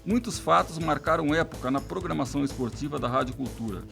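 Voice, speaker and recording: Higher-voiced, slightly creaky Brazilian male narrator. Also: a little fast, a bit bright, faint music behind. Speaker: documentary narrator. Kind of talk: scripted narration.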